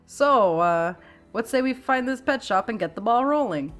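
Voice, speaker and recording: A woman's voice: a little high, expressive, normal speed, soft background music, lightly acted. A woman voicing an eccentric mustached man with a peculiar put-on accent, blunt and boastful, talking about himself in the third person. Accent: peculiar put-on foreign accent.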